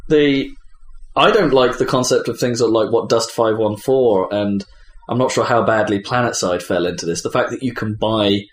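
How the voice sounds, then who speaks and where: A man in casual, opinionated conversation about games, often halting and repeating words as he works out his point. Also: lowish voice. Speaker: games podcast panelist; game developer. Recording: echoey room.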